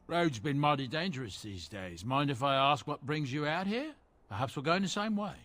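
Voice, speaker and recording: A man's voice: on the high side, animated, normal speed, fairly bright tone. An actor playing a courteous, folksy dwarf merchant and doting father in a fantasy video game. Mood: grateful, friendly. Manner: folksy, polite, salesmanlike.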